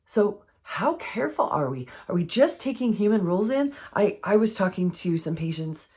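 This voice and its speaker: A woman with a froggy, hoarse voice from recent illness, giving an earnest, conversational faith-based health teaching. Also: mid-range voice, sing-song, moderate pace, a little mellow. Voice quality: froggy, strained voice.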